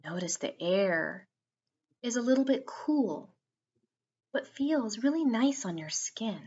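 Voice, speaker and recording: A woman narrating a guided meditation for children in a soothing, unhurried way with drawn-out phrasing. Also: on the high side, lively intonation, darkish tone, slightly rough, dull audio.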